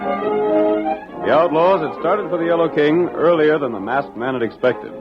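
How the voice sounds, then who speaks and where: A man's voice: a little high, unhurried. A man narrating a western adventure in dramatic, scripted 1940s radio style. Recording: muffled old radio recording. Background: music.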